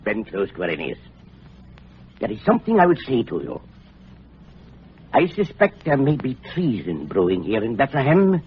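An actor playing a suspicious, treacherous king in an old radio drama, husky and grandiose. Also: mid-range voice, huge pitch swings, moderate pace, pauses often, slight background noise. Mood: suspicious, scheming. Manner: grandiose, paranoid, theatrical. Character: suspicious, treacherous Judean king, brooding and menacing. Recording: muffled old radio recording, music behind.

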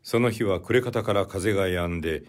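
A man reading classic literary fiction as an audiobook narrator, in a measured, deliberate way. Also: low-pitched.

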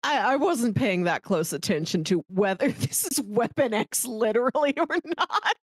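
A woman's voice: on the high side, animated, moderate pace, no echo, clean studio sound. A woman co-hosting a podcast in casual, conversational discussion. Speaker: podcast co-host.

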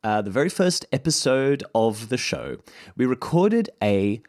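A man's voice: mid-range voice, big pitch swings, a little slow, darkish tone, no room sound, clean studio sound. A man hosting a podcast, speaking to listeners in an informal, rambling introduction and often correcting himself. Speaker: podcast host introducing an archive episode. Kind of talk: casual spoken introduction to listeners.

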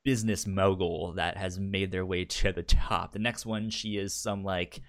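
Man, lowish pitch, podcast co-host, casual review talk, frequent stutter on sounds and words. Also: fairly even intonation, moderate pace, fairly bright tone.

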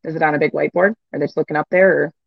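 Gravelly-voiced gym owner. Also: medium pitch, steady tone, rapid-fire, no room sound, phone-quality sound. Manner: direct, asks pointed questions. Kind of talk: casual chat.

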